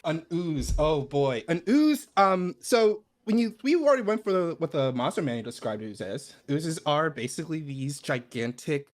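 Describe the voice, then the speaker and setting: A man with a fairly high voice chats as a podcast guest. He explains in a loose, rambling, conversational way, often wandering into asides. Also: very animated, normal speed, few pauses. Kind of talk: casual chat.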